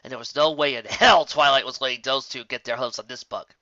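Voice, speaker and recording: A man's voice: mid-range voice, a little fast, bright, forceful tone, no room sound, highs cut off. A man reading a scripted fictional story aloud as narrator. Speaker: story reader.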